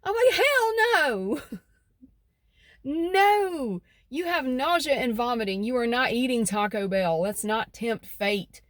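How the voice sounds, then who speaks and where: A woman's voice: medium pitch, dry recording. Woman, central North Carolina accent, middle-aged, stuffy nose, chatty, folksy, dry sound. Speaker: vlogger. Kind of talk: casual vlog chat. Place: outdoors by her house.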